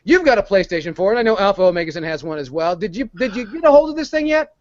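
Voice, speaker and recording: Male host, high and very lively pitch, casual podcast chat, somewhat muffled audio. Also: quick pace. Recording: dull, lo-fi online audio.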